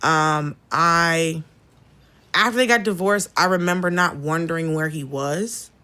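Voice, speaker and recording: A woman's voice: deep voice, measured pace, bright, forceful tone, clean tone. An American woman in her thirties from Atlanta, chatting casually as a podcast guest, blunt and sweary, telling personal stories and acting out the dialogue. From Atlanta, southern United States. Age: 30s. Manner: candid, blunt, swears freely, acts out quoted dialogue. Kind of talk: casual chat, personal storytelling.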